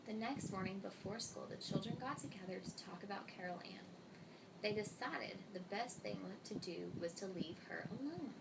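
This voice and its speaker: A woman reading a children's story aloud in an expressive way. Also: medium pitch, moderate pace, gravelly. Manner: expressive, voices the dialogue of characters. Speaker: storybook reader. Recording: distant mic, loud noise, clicks.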